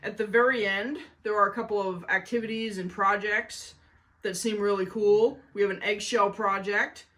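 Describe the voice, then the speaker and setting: Playful lady host talking to kids about a picture book, really enthusiastic, medium pitch. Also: steady tone, moderate pace, a bit bright. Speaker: host of a children's story-time show. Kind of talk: children's book reading and review.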